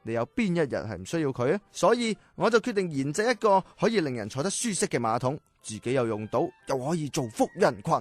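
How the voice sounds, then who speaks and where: An actor playing an earnest, excitable and easily flustered old-time inventor in a children's audio drama, theatrical and exclamatory. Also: male voice, a little high, fast talker, hardly any pauses, dry recording, faint music behind.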